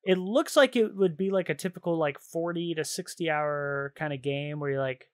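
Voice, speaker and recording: Casual higher-voiced man, gaming podcast host. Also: normal speed, no room sound, studio quality. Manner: enthusiastic, informal slang. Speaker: video game podcast host. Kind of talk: casual chat about video games.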